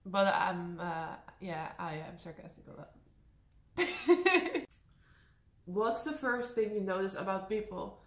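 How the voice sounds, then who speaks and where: A young Dutch woman with a high voice and a Dutch accent, chatting casually through a Q&A, laughing often and slipping in sarcastic asides. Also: lively intonation, slow, long pauses. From Netherlands. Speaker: vlogger. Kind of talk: casual Q&A to camera. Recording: echoey room.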